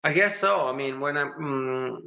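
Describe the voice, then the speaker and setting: Italian-accented male guest, bright tone, halting, searching for words, laughs easily. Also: mid-range voice, creaky. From Italy. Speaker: guest musician. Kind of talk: casual interview chat.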